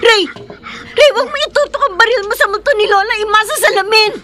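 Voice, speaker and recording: An actress playing a distressed, insistent wife in a radio horror drama, speaking with pleading emotion. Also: female voice, extremely high pitch, fast, bright, forceful tone. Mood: alarmed. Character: distressed, insistent wife haunted by grandmother's ghost.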